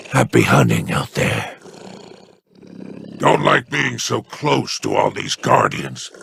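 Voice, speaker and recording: An actor playing a sly, scheming male merchant in a video game, talking in a gravelly, silky, menacing salesman's drawl. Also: medium pitch, pushed and bright, slight background noise, heavily acted. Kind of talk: video game character lines.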